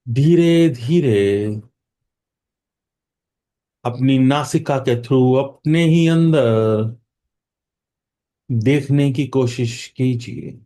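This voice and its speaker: A man with an Indian accent leading a guided meditation in a soothing manner, leaving long pauses between phrases. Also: medium pitch, dark tone.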